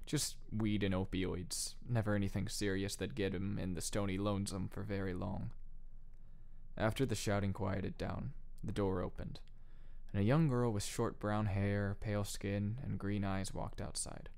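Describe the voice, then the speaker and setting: Man acting a low-voiced, pensive teenage narrator. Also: little pitch movement, normal speed, gravelly, a little mellow. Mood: reflective. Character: pensive teenage boy narrating his story. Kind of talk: scripted first-person audiobook narration.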